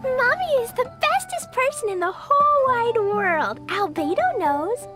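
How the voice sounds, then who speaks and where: A very high, gushing little girl. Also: lively intonation. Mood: eager, proud. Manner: childish simple phrasing, drawn-out, gushing. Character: cheerful little girl, adoring and playful, guileless. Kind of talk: animated video game voice acting. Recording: music behind the voice.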